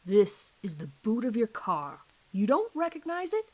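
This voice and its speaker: A woman's voice: fairly high pitch, sing-song, moderate pace. Horror drama interrogator: hard-nosed male detective voiced by a woman, blunt, pressing, sardonic. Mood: accusing, forceful. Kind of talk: audio horror drama reading.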